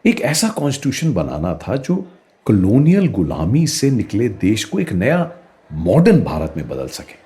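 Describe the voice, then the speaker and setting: Thoughtful professor in a radio play, super expressive pitch swings, soft mellow tone, explains with emphasis. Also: male voice, moderate pace, husky, exaggerated voice. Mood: explanatory, enthusiastic. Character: thoughtful male political science professor explaining to a student. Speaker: political science teacher character. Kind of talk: radio play dialogue, Hindi mixed with English.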